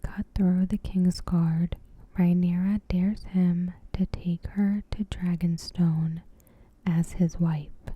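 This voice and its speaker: Woman whispering very slowly, breathy ASMR. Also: on the low side, level tone, dry recording, muffled recording. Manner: gentle, hushed, unhurried. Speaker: ASMR creator and host. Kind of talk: soft-spoken ASMR, reading a TV episode recap.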